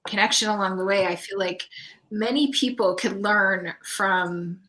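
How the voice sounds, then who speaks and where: A woman hosts a casual online interview. Her voice is notably bright and forward. She speaks slowly, pausing often between short phrases as she introduces her guest. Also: mid-range voice, poor audio. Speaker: online summit host.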